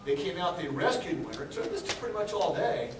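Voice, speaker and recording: A man's voice: sits high, animated, fast talker. A man from Texas giving a casual, joking talk to a crowd as an aquarium chief, often asking the audience questions. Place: large indoor room with an audience. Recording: echoey hall, mic from far off.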